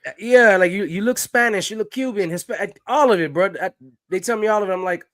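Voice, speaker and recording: A man from the Caribbean with a fairly high voice chats casually as a podcast co-host. He sounds a little tired and stammers often. The sound is dry and close. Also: brisk, fairly bright tone, no echo. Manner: easygoing, stammers and laughs. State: tired after work. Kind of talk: casual chat.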